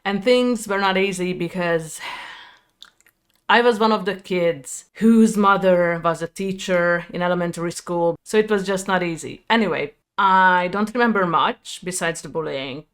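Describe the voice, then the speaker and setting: A woman in her thirties with a Hungarian accent, telling a personal story to her viewers in a reflective, halting, candid way. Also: fairly low pitch, clean tone. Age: 30s. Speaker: video creator.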